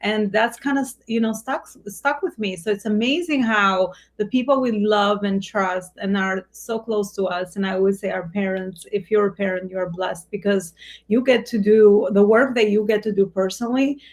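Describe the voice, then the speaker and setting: Conversational woman host, mid-pitched. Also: fairly even intonation, moderate pace. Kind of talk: casual interview chat.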